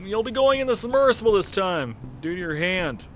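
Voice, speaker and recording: A man putting on a high, brisk team leader. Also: very animated, a bit bright, slight background noise. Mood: businesslike. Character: brisk male team leader on a research ship.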